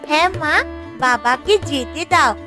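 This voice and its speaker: A trusting, cheerful cartoon village child voiced by an actor. The voice is high and expressive but unhurried, over clear music. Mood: earnest. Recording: music behind the voice.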